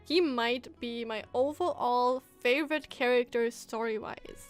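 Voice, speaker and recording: A woman giving casual live commentary as she reacts to a game story, expressive and musing aloud. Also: on the high side, measured pace. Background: game music.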